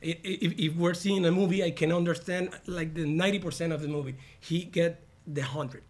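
A man's voice: a little high, steady tone, fairly quick, darkish tone. A Venezuelan man, a songwriter guest, explaining earnestly and stumbling over his words in casual chat.

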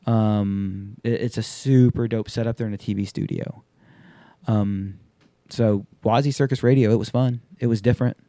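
A man's voice: fairly low pitch, fairly even intonation, moderate pace, warm tone, dry recording. A man in his thirties hosting a podcast, telling stories in a loose, profane, casual way and acting out quotes. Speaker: podcast host. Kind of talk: casual chat.

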